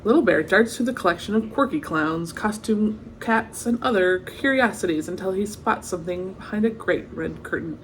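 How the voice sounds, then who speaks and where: A woman's voice: medium pitch, normal speed, hardly any pauses, slight background noise, poor audio. A woman reading a children's picture book aloud expressively, with chatty asides and exclamations of wonder. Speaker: read-aloud storyteller.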